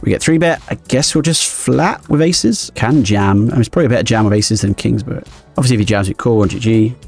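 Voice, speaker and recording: A man streaming his poker games live, thinking aloud quickly and analytically as he explains each decision. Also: lowish voice, gravelly, darkish tone, breathy edge, faint music behind.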